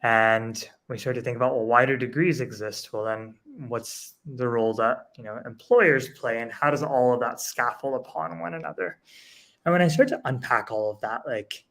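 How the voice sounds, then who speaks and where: A man's voice: mid-range voice, moderate pace, a bit bright. A man speaking as an education panelist, analytical, building his points through rhetorical questions. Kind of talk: panel discussion answer.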